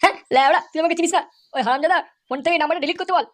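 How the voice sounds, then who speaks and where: Brief: performer doing a cartoon hothead lad, extremely high-pitched, rapid-fire, foul-mouthed and aggrieved. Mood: angry, boastful. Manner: aggrieved, cursing, blustering. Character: hot-headed foul-mouthed young man chasing college girls. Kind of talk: comedy cartoon dubbing.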